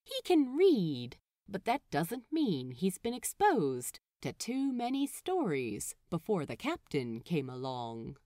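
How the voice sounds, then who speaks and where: Very animated, slow-paced woman narrator. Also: lowish voice, no echo, clean studio sound. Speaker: audiobook narrator. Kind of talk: expressive fan fiction narration.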